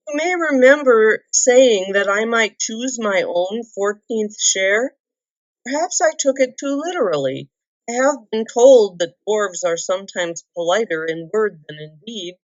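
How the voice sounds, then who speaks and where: A woman voicing a timid but indignant little male hobbit in a storybook, squeaky, fussy and polite. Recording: dull lo-fi roomy recording.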